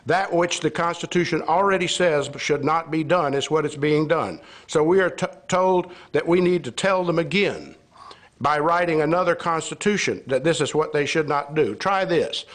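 A man in his sixties speaks at a podium in a hearing room, reading from prepared notes. His voice sits a little higher than most men's. He argues his case with rhetorical questions and a sarcastic edge. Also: normal speed, fairly bright tone. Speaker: citizen speaker at a legislative hearing. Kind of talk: prepared speech read from notes. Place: indoor legislative hearing room with seated audience. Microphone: gooseneck podium microphone.

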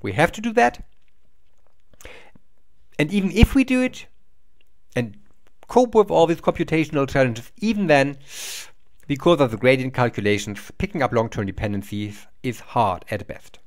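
A middle-aged man lecturing from a small room to a webcam. His pitch moves up and down a lot as he explains step by step, and he often repeats or restarts a word mid-sentence. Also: animated, moderate pace, long pauses. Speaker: university lecturer. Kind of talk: recorded lecture over slides.

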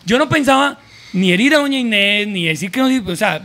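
High-voiced Costa Rican man in his forties. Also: brisk, a little hiss or hum. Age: 40s. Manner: animated storyteller, slangy, many restarts. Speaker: guest. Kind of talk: casual podcast chat. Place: small room with a mic on a table. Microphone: studio mic with headphones.

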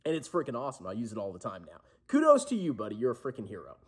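Casting: male vlog host, thirties, rough-edged husky voice, lively swooping delivery, emphatic joking showman. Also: medium pitch, rapid-fire. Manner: brash, jokey, emphatic showman. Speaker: personal finance vlogger, host. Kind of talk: casual announcement to camera. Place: small indoor room at home.

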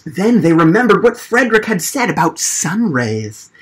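A man, telling a picture-book story to children in a gentle, expressive manner. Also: high-pitched, moderate pace, slightly rough. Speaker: library storytime performer. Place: small indoor room. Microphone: camera mic at arm's length or further.

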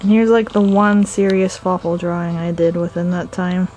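A woman's voice: on the low side, fairly even intonation, normal speed, a little mellow, soft background music. A woman narrating casually in a rambling, goofy vlog style, recorded indoors on a camera microphone. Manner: goofy, giggly. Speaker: amateur artist and comic dubber. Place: indoors at home, sitting on the floor.

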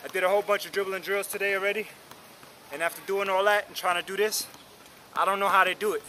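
A man in his thirties on an outdoor basketball court, talking straight to the camera in an energetic, slangy, encouraging way while tired from drills. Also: high voice, fast, slight background noise. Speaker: basketball vlogger. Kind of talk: casual coaching talk to camera. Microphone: camera mic close up.

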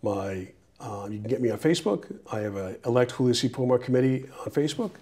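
A middle-aged man from Peru, a mayoral candidate answering interview questions in a small TV studio on a lapel mic, earnest and halting with restarts. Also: medium pitch, expressive, a little mellow, breathy edge.

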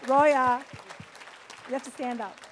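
High-voiced woman emceeing an event. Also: steady tone, takes it slowly, a faint crowd, slight background noise. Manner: warm-humored, playful asides to the audience. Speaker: emcee introducing speakers. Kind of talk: stage introduction. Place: large hall with a live audience. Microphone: lectern microphone seen from a far camera.

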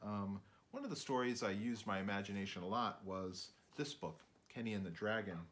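A man's voice: on the low side, moderate pace, a bit bright. A man in his fifties, a children's author, speaking informally and reading storybooks aloud at home in his book-lined studio.

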